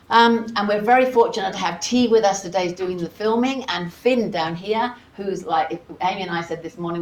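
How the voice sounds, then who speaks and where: A woman welcoming an audience as host of an academic symposium, speaking informally and conversationally with casual asides. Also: on the low side. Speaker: symposium host giving opening remarks. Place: seminar room with audience. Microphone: worn microphone. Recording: roomy echo, audible background noise.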